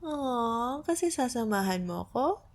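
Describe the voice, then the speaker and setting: A young woman with a Filipino accent playing an affectionate, playful girlfriend in a soft ASMR roleplay, patiently tutoring her partner. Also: fairly high pitch, lively intonation. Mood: playful, tender. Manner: teasing, tender, patient, explains words slowly. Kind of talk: soft ASMR roleplay, Tagalog lesson mixed with English.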